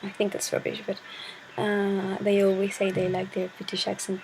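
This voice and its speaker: Shy teenage girl from Chile, native Spanish speaker, with a creaky, monotone delivery. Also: mid-range voice, normal speed, noisy background. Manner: hesitant, shy, searching for words. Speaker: vlogger. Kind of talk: casual talk to camera.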